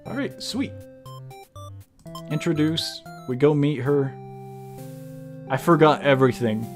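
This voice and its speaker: A man's voice: on the high side, takes it slowly, soft background music. A man giving casual, slangy live commentary as he plays a game, muttering and reading text aloud. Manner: informal, slangy, muttering choices to himself. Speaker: game streamer.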